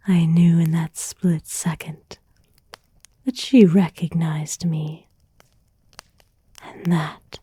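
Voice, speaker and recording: Woman acting obsessive girlfriend in slow, breathy whisper. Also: fairly low pitch, dark tone, no echo, full character voice. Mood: cold gloating. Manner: slow, intimate, lingering phrasing with endearments. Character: obsessive, possessive yandere girlfriend, sweetly menacing. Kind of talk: whispered ASMR roleplay. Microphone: close, dry ASMR microphone.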